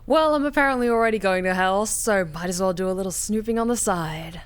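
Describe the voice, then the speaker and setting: A woman's voice: medium pitch, brisk. A woman streamer giving casual commentary while playing a game, talking in a chatty, wry, sarcastic way into a close mic.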